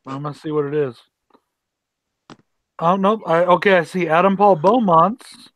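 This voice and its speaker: A man with a high voice hosts a loose hangout chat. He is talkative and jumps in with questions. The sound is dry and close. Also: no room sound. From Denver. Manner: talkative, interrupts with quick questions. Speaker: host. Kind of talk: casual group chat.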